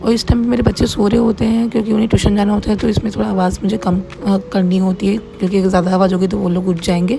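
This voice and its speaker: A middle-aged woman narrating a casual home-kitchen vlog in a plain, everyday way, her voice slightly hoarse as if under the weather. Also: mid-range voice. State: mildly unwell, voice a little hoarse. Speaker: home cook running a cloud kitchen, vlogger. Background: background music.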